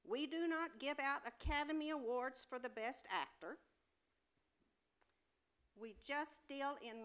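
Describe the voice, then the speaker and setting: A woman's voice: high voice, pushed and bright, exaggerated voice. A woman playing a scornful, impatient hospital nurse with a scolding, sarcastic manner in a staged comedy reading. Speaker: amateur actor in a staged reading.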